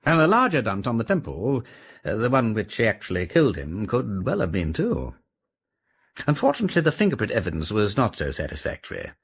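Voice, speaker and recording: An actor in a radio drama playing a genial, witty gentleman detective with an upper-class English accent, breezy and given to musing aloud. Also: fairly low pitch, wildly swooping pitch, fairly quick, slightly rough, exaggerated voice. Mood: conversational. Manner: breezy, whimsical, musing aloud, old-fashioned society slang.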